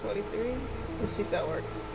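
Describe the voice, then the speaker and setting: A woman's voice: medium pitch, normal speed, vocal fry. A woman hosting a casual game livestream, chatting with viewers and thinking aloud in hesitant fragments. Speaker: livestream host. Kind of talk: casual live game-stream chat with viewers. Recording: background music under the voice. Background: music.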